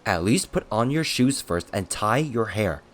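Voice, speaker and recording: A man's voice: fairly low pitch, big pitch swings, measured pace, a bit bright, pitched above the performer's own voice. A man voicing a blunt, hot-tempered male attendant who scolds and complains brashly in a storybook reading. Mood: exasperated.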